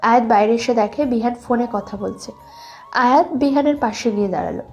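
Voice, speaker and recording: High-pitched young woman narrating. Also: fairly quick, a little mellow. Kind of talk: scripted story narration. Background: background music.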